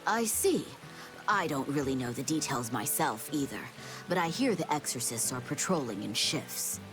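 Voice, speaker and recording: A woman's voice: extremely low pitch, expressive, normal speed, poor audio. A woman playing a chatty, gossipy, slightly anxious townswoman in a video game, given to speculation. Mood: worried. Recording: music behind.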